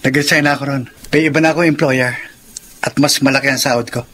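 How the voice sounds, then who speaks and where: A man's voice: medium pitch, fairly even intonation, fast talker, fairly bright tone, a little hiss or hum, lightly acted. An actor playing a troubled, defensive married man cornered by debts, in Filipino radio drama style, with a Filipino accent. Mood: curt, irritated.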